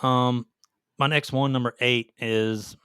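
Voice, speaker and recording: A man's voice: medium pitch, steady tone, slow pace, pushed and bright, clean tone, dry recording, studio quality. A man co-hosting a gaming podcast, talking informally with banter and some swearing. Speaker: podcast co-host. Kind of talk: casual gaming podcast chat, countdown.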